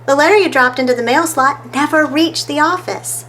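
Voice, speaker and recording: A woman's voice: sits high, moderate pace, a bit bright, pitched above the performer's own voice. Storybook girl sleuth, clever and sure of herself, voiced by a woman, faint noise behind. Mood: triumphant. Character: Vicky, confident clever girl detective explaining clues.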